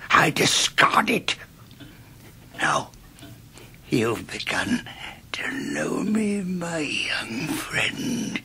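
An English actor playing a tyrannical middle-aged headmaster in a radio drama, with a hoarse rasping whisper and a slow, threatening emphasis. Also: male voice, fairly high pitch, sing-song, sharp tone, heavily acted. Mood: quietly menacing. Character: menacing, tyrannical middle-aged male boarding-school headmaster. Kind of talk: 1990s British radio drama acting.

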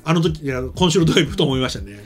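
A man's voice: on the high side, lively intonation, rapid-fire. A middle-aged man hosting a show, chatting casually and telling stories with enthusiastic emphasis. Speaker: show host. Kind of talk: casual chat, animated storytelling. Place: indoor small streaming studio. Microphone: desk microphone.

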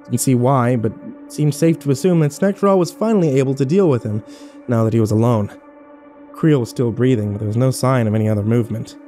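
Man playing wry, mellow-voiced horror protagonist. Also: medium pitch, a little fast, soft background music, natural voice. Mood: tense narration. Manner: wry, sweary, self-deprecating. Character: sarcastic, battered young man telling his own nightmare. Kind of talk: first-person horror audio drama narration.

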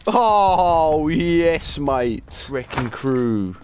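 A man's voice: on the high side, extremely slow. A young man chatting and cheering with friends while playing a shooter game. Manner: excitable, laughing, cheering kills. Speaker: gamer streaming with friends. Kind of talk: casual gaming chat. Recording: noisy game audio behind.

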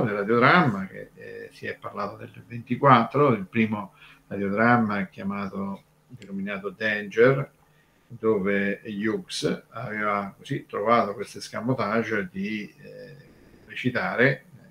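A man's voice: on the low side, vocal fry, fairly bright tone, a little hiss or hum. A man explaining conversationally on a radio show, discursive and hesitant, repeating words as he goes. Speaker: radio show co-host and commentator.

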